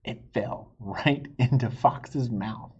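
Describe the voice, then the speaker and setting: Husky man reading a picture book slowly. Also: mid-range voice, a little mellow, lo-fi sound. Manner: teacherly, asks listeners questions. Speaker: read-aloud storyteller.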